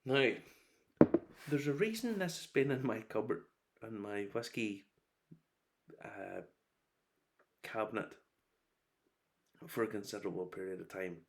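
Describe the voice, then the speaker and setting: A middle-aged man giving a casual, rambling review, opinionated and wry, breaking into animated asides. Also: lowish voice, a little slow, creaky, long pauses, slight background noise. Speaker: whiskey reviewer.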